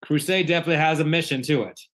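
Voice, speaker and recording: A man's voice: on the high side, racing, a bit bright. A man casually hosting a group video call in an encouraging manner. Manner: encouraging, addresses group as gang. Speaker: host of an online community gathering.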